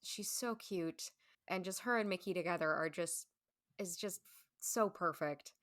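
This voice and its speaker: A woman's voice: lowish voice, unhurried, a bit bright, no echo, studio quality. A woman speaking as a podcast guest in casual chat, emphatic and chatty, peppering her talk with "like". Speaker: podcast guest, stage performer.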